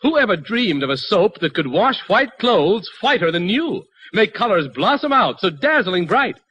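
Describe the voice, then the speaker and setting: A man announcing and pitching a product on 1940s radio in an emphatic, salesmanlike way. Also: big pitch swings, normal speed, fairly bright tone. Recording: noisy 1940s radio broadcast.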